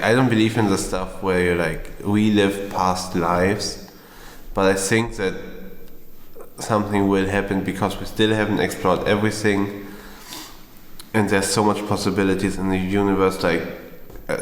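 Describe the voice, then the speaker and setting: A man's voice: deep voice, level tone, a little slow, a little hiss or hum. A young man in his twenties from Germany, speaking English as a guest and musing thoughtfully. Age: 20s. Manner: thoughtful, many "like" fillers. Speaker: podcast guest. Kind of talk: casual discussion. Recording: echoey hall.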